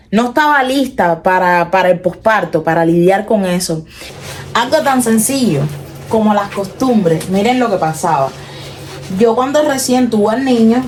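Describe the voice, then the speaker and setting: A young woman with a Cuban accent talks earnestly to her viewers in a personal confessional vlog, often slowing and trailing off as she reflects. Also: fairly high pitch, a little hiss or hum. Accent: Cuban Spanish. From Cuba. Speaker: vlogger. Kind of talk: personal confessional talk to camera.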